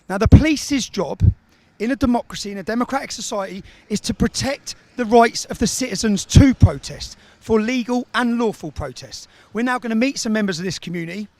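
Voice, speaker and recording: A high-pitched male presenter fronting a documentary. He moves between narration and interview questions, landing short phrases with emphasis. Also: fairly quick, darkish tone.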